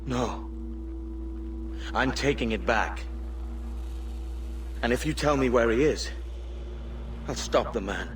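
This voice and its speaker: Resolute pirate hero, slightly distant. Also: male voice, mid-range voice, slow, pauses often, fairly bright tone. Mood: firm, accusing. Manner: grave, firm. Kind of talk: video game acting.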